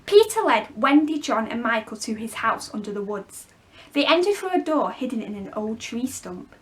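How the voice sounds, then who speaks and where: A woman storyteller reading a children's story expressively, with clear phrasing for young listeners. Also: a little high, sing-song, moderate pace. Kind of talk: expressive scripted storybook narration.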